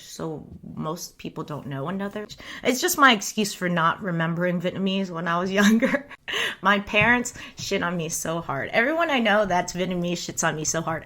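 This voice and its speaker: A woman's voice: medium pitch, a bit bright. A young woman from the United States chatting casually on a livestream, rambling and candid, often breaking into laughter. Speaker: streamer. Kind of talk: casual chat.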